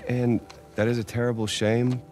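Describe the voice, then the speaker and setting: A man playing a middle-aged, sardonic and flustered treasure hunter in a video game, with a gravelly voice. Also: medium pitch, flat delivery, normal speed, a little mellow, soft background music, close to an everyday voice. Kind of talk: cinematic video game acting.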